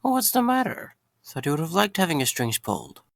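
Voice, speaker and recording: An actor playing a young night guard, sarcastic but caring and a little weary, in an audio drama. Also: male voice, on the high side, sing-song, brisk, vocal fry, fairly bright tone, no echo, clean studio sound, exaggerated voice. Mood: teasing.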